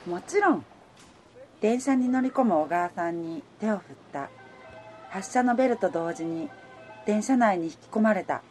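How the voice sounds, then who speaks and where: A woman's voice: mid-range voice, lively intonation, moderate pace, darkish tone, faint music behind, natural voice. A woman playing a romantic, easily flustered working woman, in radio drama dialogue and first-person narration.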